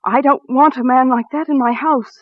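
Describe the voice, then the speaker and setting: A woman's voice: sits high, fairly even intonation, moderate pace, no echo. A woman playing an anxious, devoted wife in a 1940s radio suspense drama, speaking tenderly and earnestly. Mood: tender worry. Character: anxious, devoted wife telling her own suspense tale. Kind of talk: 1940s radio drama acting and first-person narration. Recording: dull vintage radio recording, music behind.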